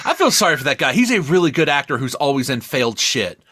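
Excitable guy w high bright voice, rambling and swearing on a casual podcast, really emphatic. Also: fast talker. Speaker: podcast co-host. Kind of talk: casual, profane film review chat.

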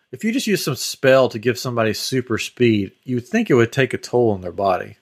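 A man's voice: medium pitch, very animated, a little fast. A man hosting a podcast in casual banter, retelling things animatedly and acting out little quotes and sound effects. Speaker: podcast host.

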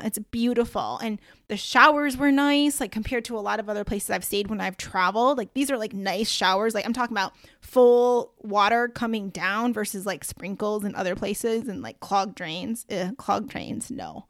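A woman's voice: mid-range voice, moderate pace, hardly any pauses, fairly bright tone, dry recording, clean studio sound. A woman hosting her podcast alone, chatting casually with lots of asides and slang. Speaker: podcast host. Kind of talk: casual solo podcast talk.

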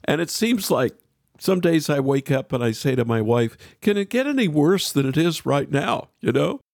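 Gravelly, animated male interview host. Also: a little high, normal speed, dry recording, studio quality. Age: middle-aged. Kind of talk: conversational interview.

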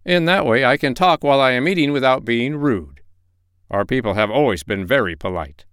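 A man's voice: medium pitch, animated, brisk, fairly bright tone, clear, resonant tone, no room sound, clean studio sound, voice pushed up, natural voice. A man playing a shy, polite two-headed gazelle-like creature in a storybook, with a reserved manner. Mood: courteous.

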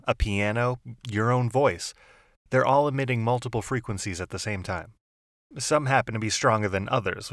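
Calm low-voiced man explaining music. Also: dry recording, studio quality. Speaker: podcast host. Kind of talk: scripted explanatory narration. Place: home office.

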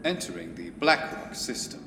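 A man's voice: deep voice, big pitch swings, normal speed, background noise. A man playing a businesslike spaceship navigator in a science fiction audio drama, in an echoing space. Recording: echoey long reverb, loud ship ambience.

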